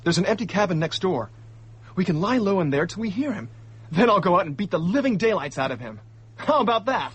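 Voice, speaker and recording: An actor playing an indignant, hot-headed young male diplomat in a radio drama, talking in excitable slang. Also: high-pitched, a little fast, husky, exaggerated voice. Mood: determined, indignant.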